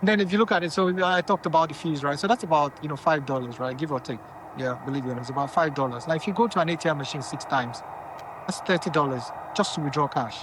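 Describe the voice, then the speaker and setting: A man's voice: a little high, quick pace, noisy background. A man from Nigeria, a company founder giving casual money advice and often checking in with his listeners. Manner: conversational, checks in with "okay?" and "right?".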